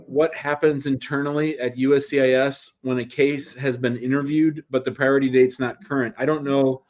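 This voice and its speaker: Guy hosting a call-in show, laid back, talks it through out loud. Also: medium pitch. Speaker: immigration show host. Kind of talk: live call-in advice show.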